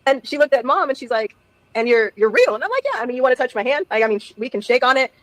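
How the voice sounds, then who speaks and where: A chatty woman tells a story, laughing often and acting out each side of the talk. Her voice sits a little high. The sound is dry and close, with no room around it. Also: sing-song, fast, fairly bright tone, dry recording, poor audio. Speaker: guest telling a story. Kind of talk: casual storytelling on a live stream.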